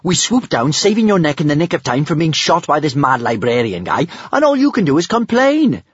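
A man's voice: fairly high pitch, big pitch swings, fairly quick, breathy edge, no room sound, dull audio, voice pushed up. A man voicing a bold, defiant young Viking girl who answers back indignantly. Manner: indignant, exclaiming. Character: defiant, cheeky Viking warrior girl, unafraid.

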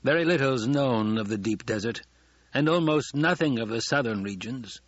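A man's voice: mid-range voice, expressive, normal speed, no room sound, dull audio, natural voice. A man voicing a falsely deferential banker with veiled venom and ingratiating formality in an audiobook. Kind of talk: audiobook character acting.

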